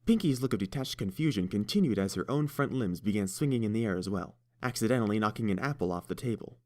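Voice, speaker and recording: A man narrating a fan-fiction storybook in a steady, measured way. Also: lowish voice. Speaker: audiobook reader.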